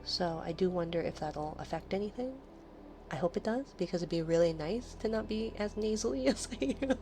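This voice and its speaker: Nasal lady streamer, kinda low voice, chatty then whispery, hissy mic. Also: animated, brisk. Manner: soft, drifting into breathy whispers between chatty asides. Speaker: livestream host. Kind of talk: casual late-night stream chat with soft whispering. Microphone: streaming microphone close up.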